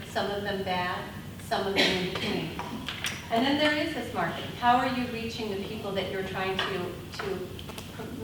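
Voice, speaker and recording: A woman leads a conference workshop, talking loudly and laughing as she takes questions. She stands without a mic, so her voice comes from across an echoey room. Steady room noise runs under it. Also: medium pitch, distant mic. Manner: loud voice. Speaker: conference presenter. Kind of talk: workshop presentation with audience questions. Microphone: speaking without a mic.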